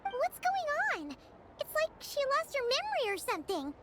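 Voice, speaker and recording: An actress playing a tiny, childlike, excitable fairy companion in a video game. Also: female voice, very high-pitched, normal speed, very noisy, exaggerated voice. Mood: puzzled. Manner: speaks of herself in third person, exclamatory.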